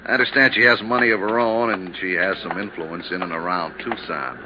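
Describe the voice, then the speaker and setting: Actor as chummy, low-voiced insurance company man. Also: brisk, lightly acted. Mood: chummy, informative. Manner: folksy, colloquial, familiar. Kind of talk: 1950s radio drama acting. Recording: muffled, noisy 1950s radio recording.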